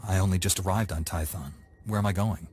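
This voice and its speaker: A man's voice: low voice, steady tone, fairly quick, darkish tone, husky. An actor playing a young male Jedi padawan in a video game, with a blunt, dry delivery. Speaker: player character. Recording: game cutscene with music behind.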